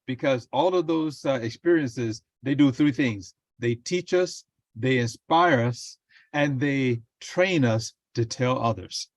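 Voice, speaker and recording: A man speaking as a guest, deliberate and methodical, laying out his points one by one. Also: medium pitch, no echo.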